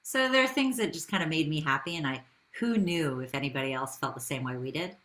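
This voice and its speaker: A woman's voice: sits low, lively intonation, fast talker, fairly bright tone. A woman speaking as a business founder in an interview, telling her story in a conversational way with rhetorical questions.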